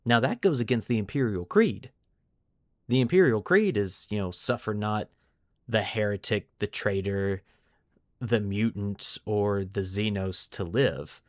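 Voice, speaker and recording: A man's voice: fairly low pitch, unhurried, dry recording, studio quality. A man hosting a podcast, explaining lore to friends in an informal, enthusiastic way. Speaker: podcast host.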